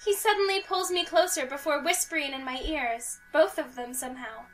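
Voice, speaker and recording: A woman's voice: high-pitched, a little fast, distant mic, soft background music. A young woman reading a visual novel game aloud in a playful way, mixed with casual banter. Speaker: let's play host.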